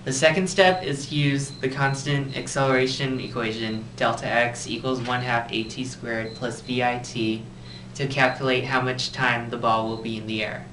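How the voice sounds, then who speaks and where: A man's voice: mid-range voice, steady tone, moderate pace, sharp tone. Male physics explainer, scripted delivery, echoey amateur sound with faint noise. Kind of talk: scripted educational narration. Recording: roomy, distant, noisy amateur recording.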